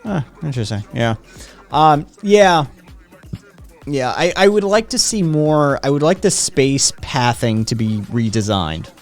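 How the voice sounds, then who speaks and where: A man's voice: mid-range voice, lively intonation, unhurried, faint music behind. A man hosting a live-streamed talk show, speaking casually with many hesitations and answering viewers' comments. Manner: hesitant, many "um"s, reads chat aloud. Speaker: podcast host. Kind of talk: casual chat.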